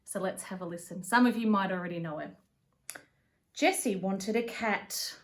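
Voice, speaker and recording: A woman reading a children's picture book aloud as a storyteller, with an expressive, deliberate delivery. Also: medium pitch. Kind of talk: read-aloud for young children.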